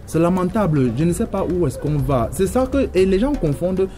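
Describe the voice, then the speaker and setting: A man's voice: sits high, animated, a little fast, mellow. Emphatic man from Cameroon, music underneath. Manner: emphatic, repeats himself while searching for words. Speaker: debate guest. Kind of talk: casual debate chat.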